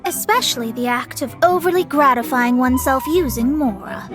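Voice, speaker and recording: A woman's voice: sits high, normal speed, music in the background, close to an everyday voice. A woman playing a proud young astrologist in a video game, formal and lofty but easily flustered. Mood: haughty.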